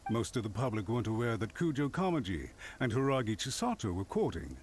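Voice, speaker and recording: Guy doing snooty scheming official in an anime game, super expressive, pompous and touchy, bit of noise behind. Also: medium pitch, quick pace, lightly acted. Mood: defiant. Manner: formal, pompous, emphatic. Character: haughty, scheming, defensive clan official, easily indignant. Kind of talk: anime-style video game voice acting.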